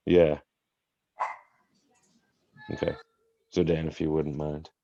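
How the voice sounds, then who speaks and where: A man with a very low voice takes his turn as a player in a tabletop roleplaying game. He talks casually over an online call, and the audio is somewhat dull and lo-fi. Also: level tone, slow pace, mellow. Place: indoors on an online group call. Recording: muffled, boxy online call with room echo.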